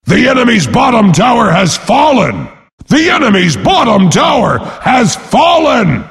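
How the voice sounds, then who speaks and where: A boastful brute warrior announces a video game in a high, gravelly bellow. He is pompous and brash, swinging wildly in pitch, with a long reverb tail on his voice. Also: big pitch swings, unhurried, a bit bright, full character voice. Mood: booming, forceful. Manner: pompous, speaks of himself in third person.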